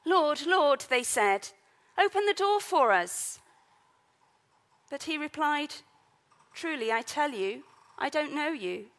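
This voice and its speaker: A woman's voice: high-pitched, animated, slow pace, long pauses, a bit bright. A woman leading a church service, reading scripture, introducing the guest preacher, and praying in an earnest manner.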